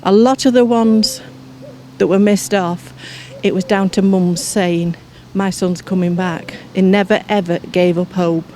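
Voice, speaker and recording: A woman's voice: medium pitch, steady tone, a little slow, warm tone, a little hiss or hum. An older woman with an English accent telling her story conversationally in an interview. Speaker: interviewee, local researcher.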